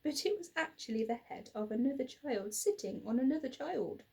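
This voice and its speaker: Female storybook reader, 30s, slightly high and husky, dramatic emphasis. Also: expressive, moderate pace, a little mellow. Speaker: teacher reading a children's book aloud. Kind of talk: expressive storybook narration. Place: small plain indoor room.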